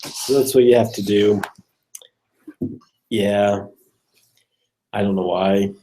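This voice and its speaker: A man's voice: fairly low pitch, fairly even intonation, slow, warm tone, low-quality recording. A man casually walking through code on screen like a programming student, thinking aloud in a hesitant, unsure way.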